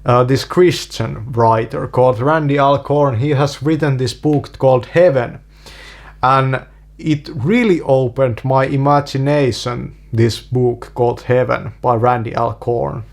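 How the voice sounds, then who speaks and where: Man, Finnish accent, non-native English, very slow, halting, repeats himself, casual monologue. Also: medium pitch, creaky. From Finland. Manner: halting, many restarts and repeated fillers. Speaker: video creator.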